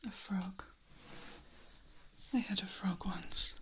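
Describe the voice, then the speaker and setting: A woman playing a protective, world-weary vampire in a soft, breathy whisper, in an ASMR roleplay. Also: mid-range voice, fairly even intonation, natural voice. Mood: musing. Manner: slow, gentle, admonishing. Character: protective, world-weary female vampire tending a loved one.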